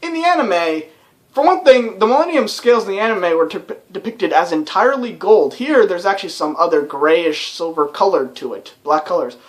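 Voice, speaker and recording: Chatty young gamer with a lively pitch. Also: moderate pace. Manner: rambling and chatty, with many fillers. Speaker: let's play commentator. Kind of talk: casual video game commentary. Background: game soundtrack music.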